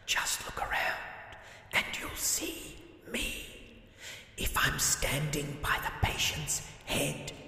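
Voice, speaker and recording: Death himself, an ancient, sinister figure from a storybook. He speaks in a slow, breathy whisper, hissing softly with calm command. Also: fairly high pitch, echoey room, long reverb tail, voice pushed up, exaggerated voice.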